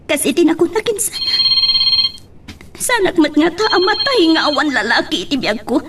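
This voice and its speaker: A woman's voice: high-pitched, big pitch swings, normal speed. A woman with an Ilocano Filipino accent playing a distressed, heartbroken young woman in a melodramatic audio drama. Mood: anguished. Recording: faint background noise.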